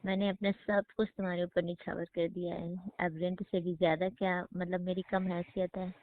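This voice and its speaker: A woman playing an affectionate divorced lover, coy and gently complaining, in an intimate phone-call conversation. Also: on the low side, flat delivery, moderate pace, close to an everyday voice. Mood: coy, hurt. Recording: muffled phone call recording.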